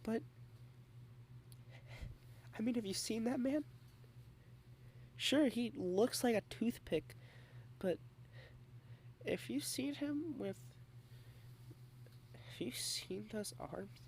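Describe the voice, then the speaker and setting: Slow, gravelly, shy soft-spoken woman. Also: a little high, animated, a little hiss or hum. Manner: shy, rambling, soft-spoken with whispered asides. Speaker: ASMR roleplay creator. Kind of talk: ASMR girlfriend roleplay.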